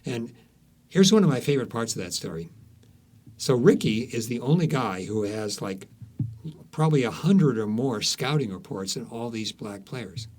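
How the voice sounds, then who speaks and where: A man's voice: medium pitch, expressive, moderate pace, darkish tone. A man speaking as an author being interviewed, telling stories conversationally, searching for words and repeating some for emphasis.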